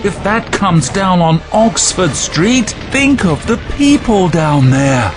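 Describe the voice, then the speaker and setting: A man's voice: high-pitched, lively intonation, slow. An actor playing an alarmed, disapproving man in a wartime audio drama. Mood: indignant. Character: alarmed, disapproving man objecting in a war crisis. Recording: music and effects behind.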